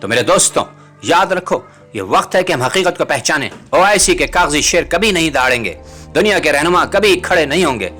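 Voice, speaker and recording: A man's voice: fairly high pitch, expressive, fairly quick, pushed and bright. A man reading scripted political commentary as a host, in a rhetorical, bitingly sarcastic manner. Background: music.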